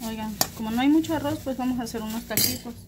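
A woman's voice: on the high side, quick pace, background noise. A woman in her thirties chatting casually to her viewers in a home vlog, recorded on a phone in a small kitchen. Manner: chatty, addresses viewers as friends. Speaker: vlogger.

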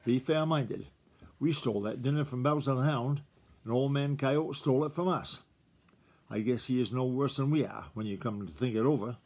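Old vixen, shrewd and matter-of-fact, male storybook reader, husky tone. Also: mid-range voice, moderate pace, darkish tone, natural voice. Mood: lecturing. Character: shrewd old female fox, calm and scheming.

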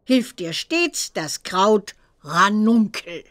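A woman voicing a stern old witch examiner who teaches herbalism in a children's computer game, theatrical and deliberate. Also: mid-range voice, big pitch swings, a bit bright, no room sound, clean studio sound, full character voice. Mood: theatrical, teasing.

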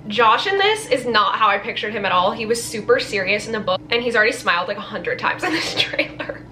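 A woman's voice: mid-range voice, expressive, brisk. A young woman chatting candidly and gushingly in slangy language, reacting to a film trailer as a vlogger in a bedroom. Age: young adult. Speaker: book vlogger. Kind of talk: casual trailer reaction vlog. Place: small bedroom, indoors.

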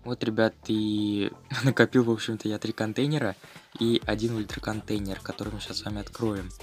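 Man, lowish voice, gaming video host, casual slangy running commentary, background music. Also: little pitch movement, fairly quick. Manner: informal, slangy running commentary addressed to viewers.

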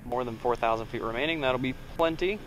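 A man's voice: mid-range voice, fast, low-quality recording. A young man flying a small plane, casually talking viewers through engine start and taxi and reading back radio calls on a headset. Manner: relaxed, talks viewers through each step. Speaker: pilot vlogger. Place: small aircraft cockpit. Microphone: aviation headset. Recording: engine noise behind.

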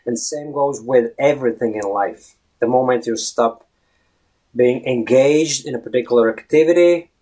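A Latvian-accented man in his thirties talks straight to a webcam in a small room, with a middle-pitched voice. He is chatty and easygoing, often restarting words and stretching some out for emphasis. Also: moderate pace, dark tone. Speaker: English fluency blogger. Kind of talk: casual video blog advice. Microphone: webcam at head-and-shoulders framing.

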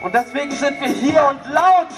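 A man's voice: extremely high pitch, normal speed, raised voice, over loud music. A man rapping political hip hop in an urgent, declamatory way. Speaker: rapper. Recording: distant voice over hip hop beat.

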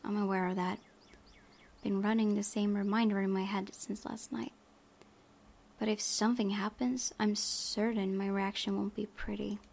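A woman's voice: medium pitch, flat delivery, normal speed, gravelly, darkish tone. A woman hosting a gaming video, reading visual novel text aloud with casual asides.

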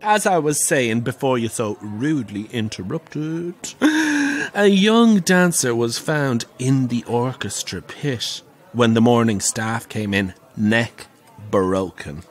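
A theatrical Irish pantomime dame. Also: male voice, mid-range voice, expressive, takes it slowly, breathy edge. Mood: ominous, relishing. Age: middle-aged. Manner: theatrical, wry, relishing the tale. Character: camp, plump, middle-aged pantomime dame spinning grisly theatre ghost stories. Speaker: actor in an audio drama. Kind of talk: audio drama storytelling.

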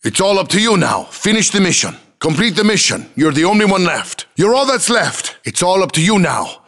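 A man's voice: high voice, sing-song, normal speed, fairly bright tone, full character voice. A man playing a militia commander as a video game announcer, giving short, clipped, commanding battle calls. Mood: urgent, fierce. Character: forceful militia commander barking battle updates.

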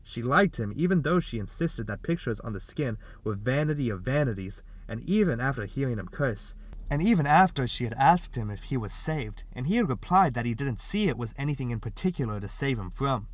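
A man reads a story aloud in a mid-pitched voice. The narration is scripted, in audiobook style. The sound is dry, with hardly any room in it. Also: lively intonation, fairly quick, no room sound.

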